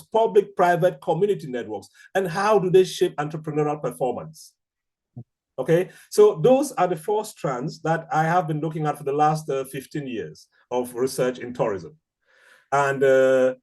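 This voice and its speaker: A middle-aged man with a Cameroonian accent giving an academic seminar lecture in a measured, deliberate, explanatory manner. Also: on the high side, darkish tone. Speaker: academic researcher, seminar speaker.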